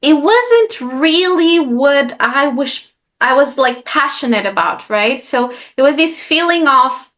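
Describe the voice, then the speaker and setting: Woman from portugal, native portuguese, chatty guest, lots of ums and "right?", fairly high voice. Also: animated, slow, fairly bright tone. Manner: lots of fillers, often asks "right?". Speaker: guest, life coach. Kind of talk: casual interview chat.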